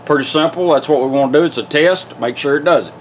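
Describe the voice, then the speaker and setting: Higher-pitched man giving a folksy demo. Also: quick pace, a little hiss or hum. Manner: folksy, informal step-by-step instruction. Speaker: product demonstrator, radio builder. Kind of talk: casual hands-on product demonstration.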